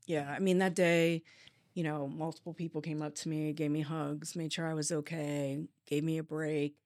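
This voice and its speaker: A woman's voice: sits low, flat delivery, darkish tone, no echo, studio quality. A woman who works as a police dispatcher, answering interview questions openly and reflectively, with frequent restarts. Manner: open, reflective, halting restarts.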